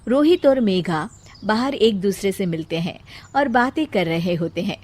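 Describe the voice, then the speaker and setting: A woman's voice: medium pitch, animated, moderate pace, darkish tone. A woman narrating a scripted moral story as a storyteller.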